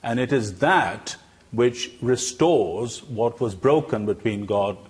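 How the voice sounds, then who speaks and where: A man's voice: mid-range voice, lively intonation, slow pace. A man in a panel debate, arguing insistently and repeating himself for emphasis. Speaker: panel guest defending religion. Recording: loud noise behind the voice.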